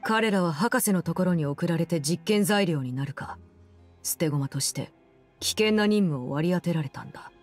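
An actress playing a cold, composed game villainess, telling a story in a measured, solemn and restrained way. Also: female voice, on the low side, faint music behind, close to an everyday voice. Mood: grave, composed. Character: cold, composed woman, Fatui Harbinger who runs an orphanage. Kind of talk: scripted game story narration.

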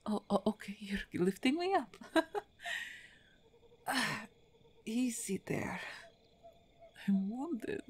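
A woman playing a big, wounded half-orc in an ASMR roleplay, weary and halting, breathy and often whispering, weak with pain. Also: on the high side, expressive, exaggerated voice. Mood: weary, wry.

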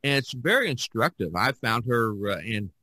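An American man hosting a casual podcast, speaking in a somewhat halting way. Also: mid-range voice, big pitch swings, pushed and bright, no room sound. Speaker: podcast host. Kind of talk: casual podcast talk with announcing.

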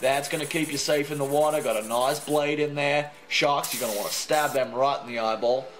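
A man doing a jokey, deadpan kayak demo outdoors. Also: medium pitch, fairly quick, slight background noise. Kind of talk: comic product demo.

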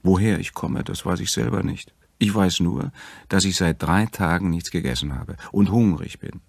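A man's voice: low-pitched, normal speed, gravelly, breathy edge, no room sound, voice pushed up, exaggerated voice. A man voicing a hungry, lost woman in a fairy tale, speaking plainly and frankly. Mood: weary, frank.

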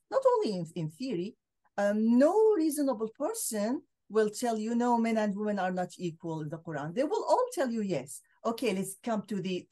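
A woman's voice: medium pitch, warm tone. A middle-aged woman from Syria, a scholar speaking as a guest on a video call, explaining her views earnestly with frequent fillers. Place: home room with bookshelves.

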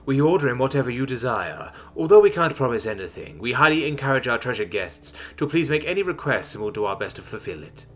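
A man's voice: mid-range voice, very animated, fairly quick, sharp tone, faint music behind. A man voicing a nervous, polite male alien waiter who speaks formally and deferentially in a story. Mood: cheerful.

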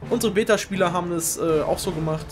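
A young man hosting a game show, giving informal, excitable live commentary on a video game race. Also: high voice, fast talker. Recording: music behind the voice.

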